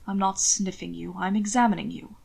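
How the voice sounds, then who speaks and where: A woman voicing an intense, teasing aristocratic husband in a formal, measured way for an audiobook story. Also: mid-range voice, steady tone, gravelly, a little mellow, breathy edge. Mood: smug, teasing. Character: aloof, dignified aristocratic husband, teasing, intense alpha man.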